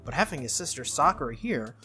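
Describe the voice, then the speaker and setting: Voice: mid-range voice, big pitch swings, brisk, over music. A game playthrough host reading visual novel text aloud expressively, sometimes stumbling and rereading lines.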